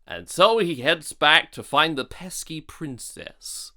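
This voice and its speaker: A sarcastic reviewer with a bright, forceful voice and lively swings in pitch. The delivery is unhurried, scripted and openly exasperated, mocking what is being watched. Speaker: video reviewer. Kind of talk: sarcastic scripted review commentary over game cutscenes.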